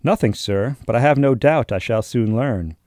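A polite young sailor, read by a male narrator in nearly his own voice, deferential and plain in his answers. The timbre is dark and mellow, and the recording is dry and close. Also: mid-range voice, normal speed, no room sound, lightly acted.

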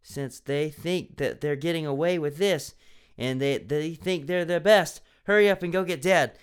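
A young man reads a cheesy children's mystery aloud with mock drama, throwing in sarcastic asides. His voice sits a bit high for a man and comes through smooth and clear. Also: normal speed. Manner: sarcastic, laughing at the text. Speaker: reader of a children's mystery book.

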